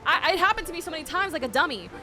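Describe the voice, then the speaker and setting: High, animated woman streamer. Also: racing. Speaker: streamer reacting to a game. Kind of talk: casual gameplay reaction chat.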